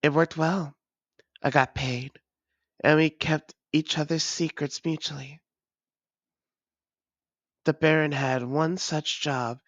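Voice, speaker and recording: A weary vampire played by a voice actor speaks very slowly and formally to a long-lost lover. The delivery is halting and guarded, like an intimate confession in an audio drama. The sound is dry and close. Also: mid-range voice, no room sound, close to an everyday voice. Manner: measured, halting, formal old-fashioned phrasing. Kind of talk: intimate scripted roleplay audio drama monologue.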